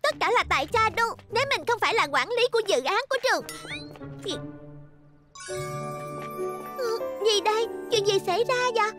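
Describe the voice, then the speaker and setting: A woman voicing a prim, quick-to-accuse little cartoon schoolgirl. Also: very high-pitched, animated, music in the background. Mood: peeved, puzzled. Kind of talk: cartoon dubbing.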